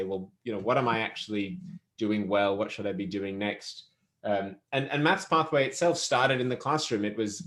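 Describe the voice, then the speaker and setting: An Australian man speaking as a guest on an online webinar, relaxed and candid with many fillers and restarts, on a video call. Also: fairly low pitch. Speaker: webinar guest, education company CEO. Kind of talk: conversational webinar talk.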